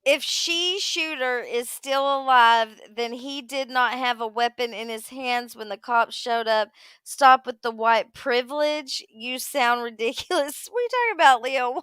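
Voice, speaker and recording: Woman, crisp clear voice, highish pitch, casual co-host, animated reactions, reading aloud, dry sound. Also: unhurried, no room sound.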